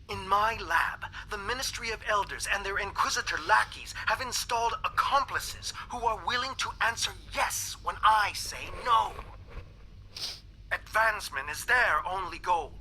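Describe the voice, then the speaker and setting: An actor playing an earnest, worried alien reptilian scientist in a video game, speaking formally and with grave, articulate care. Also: male voice, high-pitched, bright, forceful tone, breathy edge, heavily acted, low-quality recording. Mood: frustrated, worried. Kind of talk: scripted video game voice acting, monologue message.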